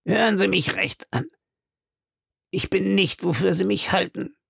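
A reader voicing a scheming male police detective who speaks in a low, confiding, persuasive way. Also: a little slow, gravelly, fairly bright tone, breathy edge, no room sound, studio quality, heavily acted.

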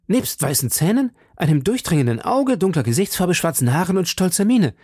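A man voicing a brash young gallant in an audiobook, inquisitive, impulsive and briskly exclamatory. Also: high voice, very animated, a little mellow, slightly rough, dry recording, clean studio sound, voice pushed up, heavily acted. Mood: excited. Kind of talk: audiobook character dialogue.

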